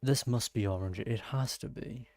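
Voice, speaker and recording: A man's voice: medium pitch, moderate pace, vocal fry, darkish tone, no room sound. A man giving casual live gameplay commentary, thinking aloud and exclaiming to himself as he plays. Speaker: gaming streamer playing and commenting.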